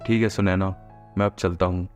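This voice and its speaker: Man acting a low-voiced, earnest young brother. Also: steady tone, brisk, darkish tone, faint music behind, close to an everyday voice. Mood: earnest, affectionate. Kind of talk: Hindi cartoon story dialogue.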